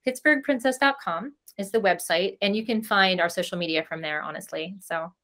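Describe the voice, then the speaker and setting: A woman's voice: medium pitch, brisk, fairly bright tone. A woman speaking as a podcast guest in an effusive, rambling, casual way with giggly asides. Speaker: podcast guest, princess party company owner. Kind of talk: casual video-call interview chat.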